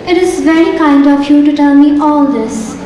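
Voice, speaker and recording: Young woman acting as a gracious girl singer, high voice, polite formal talk, big echoey hall. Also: moderate pace, distant mic, background noise, lightly acted. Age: young adult. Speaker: student actress. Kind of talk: stage acting in a school play. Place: large theatre stage. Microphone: far stage mic.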